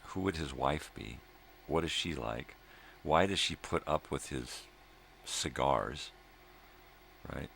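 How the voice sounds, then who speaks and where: An extremely low-voiced, gravelly middle-aged man talks casually to his vlog audience. He meanders, stops and restarts, repeats phrases and grumbles his opinions with the odd swear word. There is clear noise behind him. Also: a bit bright. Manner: halting, meandering, repeats himself. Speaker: host of a daily morning video log. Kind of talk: rambling casual solo chat. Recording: noticeable background noise.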